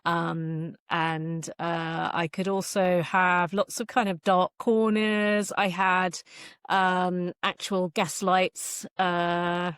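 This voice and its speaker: A woman's voice: on the low side, flat delivery, extremely slow, fairly bright tone, clear, resonant tone, no echo, clean studio sound. A woman author in a casual interview, talking in a wry, chatty way.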